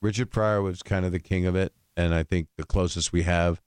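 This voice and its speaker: Older male comedian, very low gravelly voice, loose rambling chat, dry studio sound. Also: fairly even intonation, a little fast, a little mellow, dry recording. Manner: rambling, slips into jokey put-on voices. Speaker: guest comedian. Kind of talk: casual podcast chat.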